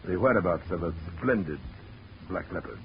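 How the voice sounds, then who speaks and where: An actor playing a suave, menacing gentleman villain in his fifties, pompous and courtly, in 1940s radio drama style. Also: low voice, lively intonation, normal speed. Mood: smooth command. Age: 50s. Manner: pompous, courtly, mock-genial. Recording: muffled, noisy 1940s radio recording.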